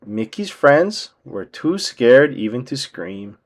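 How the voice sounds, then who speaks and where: A man reading a children's book aloud as an expressive storyteller. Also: mid-range voice, slow pace.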